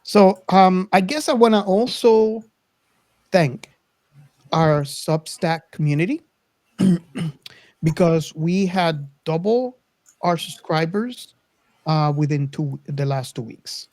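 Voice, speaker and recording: A podcast host talking casually to listeners and co-hosts, haltingly and searching for words. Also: mid-range voice, darkish tone, no echo.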